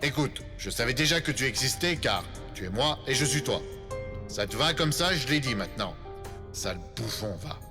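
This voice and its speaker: Anime fan dub delinquent lad voiced by a man: gruff, foul-mouthed, very animated, music underneath. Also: mid-range voice, normal speed, close to an everyday voice. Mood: resigned, gruff. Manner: gruff, slangy, defensive. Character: brash, foul-mouthed, embarrassed teenage male punk.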